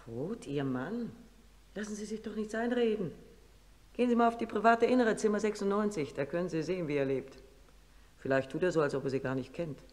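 An actress playing a spiteful, gossiping nurse in a radio drama, conspiratorial in manner. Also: female voice, mid-range voice, a little fast, a little mellow, close to an everyday voice. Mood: spiteful, secretive. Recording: long echo of a hall.

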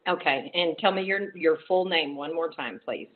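A woman's voice: low-pitched, normal speed, a little hiss or hum. A woman working as a law office receptionist, talking politely and a little hesitantly with a caller. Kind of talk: phone conversation with a caller. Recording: muffled narrow telephone line.

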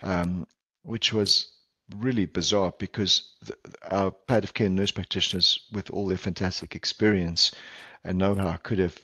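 Low-voiced South African man presenting. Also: steady tone, bright, forceful tone, husky, no room sound. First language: Portuguese. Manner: earnest, measured, with frequent ums and restarts. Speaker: palliative care physician presenter. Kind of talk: conference presentation over video call. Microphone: video call headset or laptop mic.

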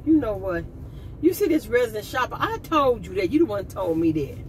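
A woman's voice: medium pitch, big pitch swings, a little fast. A middle-aged woman chatting casually to her viewers as she drives and then shows her groceries, rambling from one thing to the next. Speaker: vlogger. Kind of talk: casual vlog chat. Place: in a car, then at a kitchen table. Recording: noisy car recording.

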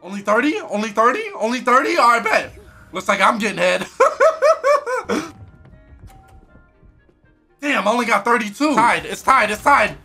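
A young man in his twenties, a streamer giving crude, loud, excitable commentary while playing a game, talking into a headset in his bedroom. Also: extremely high pitch, a little slow, pauses often, faint music behind. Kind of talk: crude live gaming commentary. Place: pink-lit bedroom, indoors.

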